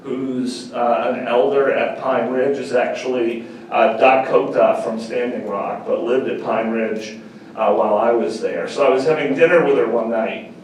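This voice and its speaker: A middle-aged man speaks earnestly at a measured pace, repeating key phrases for emphasis. His voice sits at an ordinary pitch and is heard from across a very echoey university meeting room. A faint room hum sits behind him. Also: fairly even intonation, recorded from a distance. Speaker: panel speaker. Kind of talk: reflective public talk. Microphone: far camera.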